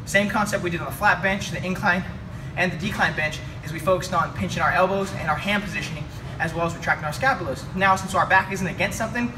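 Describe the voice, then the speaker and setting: A man's voice: high-pitched, fast. A young man coaching a chest workout in a weight room, talking through the moves as he lifts. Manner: energetic, coaching while lifting. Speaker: fitness coach. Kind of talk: workout instruction. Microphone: camera mic from a few feet away. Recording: distant camera audio with room noise.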